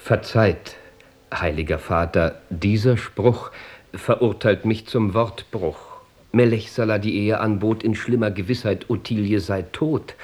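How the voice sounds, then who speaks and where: Slow, solemn knight. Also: male voice, lowish voice, darkish tone. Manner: solemn, declamatory. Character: proud, torn nobleman crusader returning home. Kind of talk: theatrical verse radio play.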